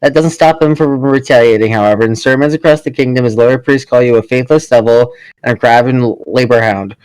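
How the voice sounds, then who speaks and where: Game narrator, male, mid-pitched voice, storytelling read-aloud, close dry recording. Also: steady tone, brisk, darkish tone, clean tone, dry recording, low-quality recording. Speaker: narrator and player in a party game.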